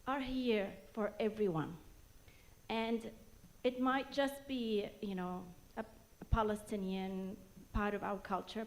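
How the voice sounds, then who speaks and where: A woman from Jerusalem giving an earnest, rousing speech at a rally in short phrases. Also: on the high side. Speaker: rally speaker.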